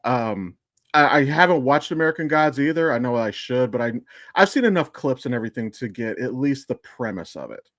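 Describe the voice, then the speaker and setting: A man with a mid-pitched voice talks casually on a podcast. He tells his story in a loose, digressive way, circling off and back again. The sound is dry, with little room in it. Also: lively intonation, fairly quick, fairly bright tone, dry recording. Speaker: podcast co-host and amateur voice actor. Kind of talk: casual chat.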